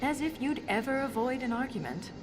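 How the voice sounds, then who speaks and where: A woman playing a principled, dryly stubborn dwarf historian in a video game, speaking in a measured, precise way. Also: high voice, animated. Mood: dry, sparring. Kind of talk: video game cutscene dialogue. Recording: game music behind the voice. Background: music.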